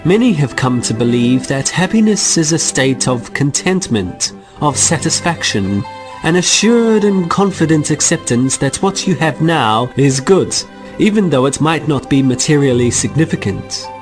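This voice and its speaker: A man narrating a radio drama in a measured, wry, mock-scholarly way. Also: fairly high pitch, expressive, music in the background. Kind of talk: scripted narration.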